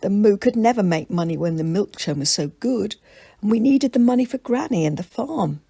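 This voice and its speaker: A woman reader acts a young granddaughter in a storybook, guilty and pleading. The voice is lifted higher, with a husky edge. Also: expressive, normal speed, dark tone, no echo, studio quality. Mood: ashamed.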